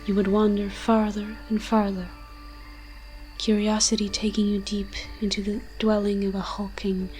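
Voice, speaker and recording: A woman's voice: medium pitch, darkish tone, close to an everyday voice. A woman voicing an ancient, moss-covered forest demon in an audio roleplay, tender and protective, speaking in solemn, poetic, archaic storytelling phrases.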